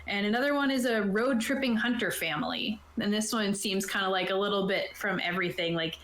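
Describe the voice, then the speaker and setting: A woman talks through tabletop game rules as a game master, in a casual and slightly halting manner. Her voice sits at an average pitch. The recording is roomy, with clear echo around the words. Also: a bit bright. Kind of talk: casual explanatory talk, reading from a rulebook. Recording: roomy, echoing sound.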